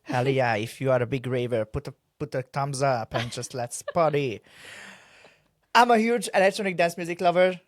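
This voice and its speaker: A man's voice: medium pitch, normal speed, dry recording, studio quality. A young man from Hungary with a Hungarian accent, chatting bluntly and playfully as a podcast co-host. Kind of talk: casual podcast chat.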